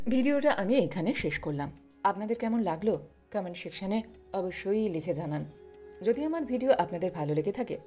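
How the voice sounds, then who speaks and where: Woman narrating over background music. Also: on the low side. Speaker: storyteller, narrator of a motivational video channel. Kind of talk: scripted moral-story narration.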